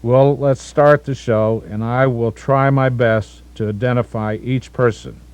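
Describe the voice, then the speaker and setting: A man's voice: mid-range voice, fairly even intonation, a little slow, a little mellow, clean tone, dry recording. A man in his sixties from the United States narrates his family's history over home movies, methodically listing names and correcting himself now and then.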